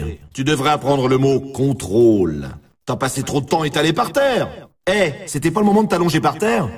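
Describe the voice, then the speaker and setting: A man's voice: medium pitch, husky, distant mic. A man playing a brash, teasing snowboard race announcer in a video game, with punchy scripted delivery. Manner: punchy exclamatory delivery in short scripted lines. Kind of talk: scripted sports announcer lines with colloquial address.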